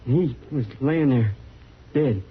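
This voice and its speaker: A man's voice: mid-range voice, animated, a little slow, lightly acted. An actor playing a frightened, evasive frontier man in a radio western, stammering defensively in rustic grammar. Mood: nervous, defensive. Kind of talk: old-time radio western acting. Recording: muffled old radio recording, faint hiss.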